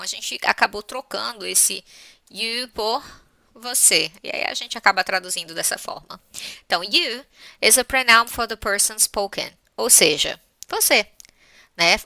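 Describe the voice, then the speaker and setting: A Brazilian woman gives a grammar lesson in a conversational, didactic manner. Her voice is bright and forward with a creaky edge. She lays out each point step by step and checks for understanding, in a dry recording with very little room sound. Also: on the high side, dry recording. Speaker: English teacher.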